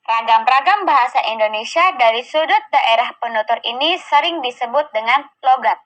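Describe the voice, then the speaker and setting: A young woman, a student, reading her group's class presentation in a steady, careful way. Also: a little high, moderate pace, low-quality recording.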